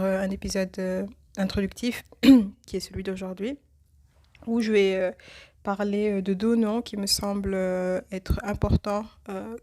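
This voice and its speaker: A woman with a cold hosting a reflective religious podcast, speaking thoughtfully and pausing often with fillers. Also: medium pitch, a little mellow. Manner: hesitant, many filler pauses. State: has a cold. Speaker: podcast host.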